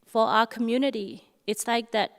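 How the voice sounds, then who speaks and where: A woman from Burma speaks formally as a panelist, with measured, careful phrasing and pauses. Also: on the high side, steady tone. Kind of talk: formal thanks and testimony at an event. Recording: echoey hall sound.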